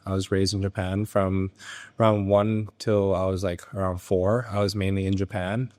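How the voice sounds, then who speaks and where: Young man, from Thailand, American accent, deep low voice, hesitant, relaxed interview answers. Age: young adult. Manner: relaxed, hesitant with frequent ums and uhs. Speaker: guest business founder. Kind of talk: casual interview. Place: his motorcycle garage.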